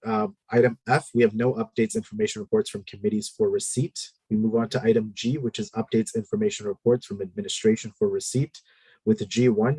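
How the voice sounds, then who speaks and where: Male meeting chair, low husky voice, steady procedural delivery with many fillers. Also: no echo, low-quality recording. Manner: procedural, measured, frequent "uh" fillers. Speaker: committee chair.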